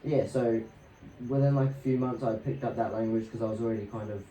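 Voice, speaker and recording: Mellow young man telling stories, roomy echo. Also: mid-range voice, fairly even intonation, fairly quick. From New Zealand and Australia. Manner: laid-back, slangy, swearing. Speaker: podcast guest. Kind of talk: casual rambling storytelling. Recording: roomy echo, distant mic, faint noise.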